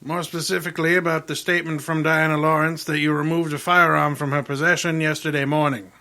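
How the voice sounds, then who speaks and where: An actor playing a brusque, shrewd, imperious detective boss in old time radio style, speaking formally and dryly with probing questions. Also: male voice, on the high side, flat delivery, brisk, pushed and bright. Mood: probing, cool.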